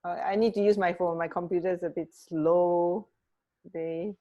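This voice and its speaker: A woman's voice: on the low side, steady tone, normal speed, warm tone, muffled recording. A woman co-hosting a radio show in casual chat, feeling her way through sentences with a hesitant, searching delivery. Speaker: radio co-host. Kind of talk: casual chat about fun facts.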